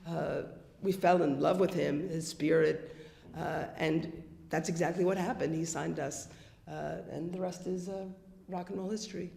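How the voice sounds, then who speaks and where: A woman from the United States, a band member, telling stories in a casual interview while slightly hoarse from losing her voice. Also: low-pitched, fairly even intonation, normal speed, dark tone. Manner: chatty storyteller, many fillers and restarts. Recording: echoey room.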